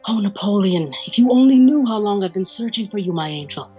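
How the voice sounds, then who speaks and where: Immortal devoted female lover, played by an actress, mid pitch, gentle, formal, coaxing; audio drama, muffled over soft music. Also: lively intonation, a little fast, husky. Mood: yearning, insistent.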